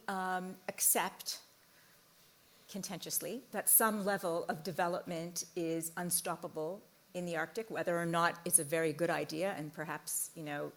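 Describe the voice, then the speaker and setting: A woman moderating a panel discussion on a conference stage, asking measured, probing questions. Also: lowish voice.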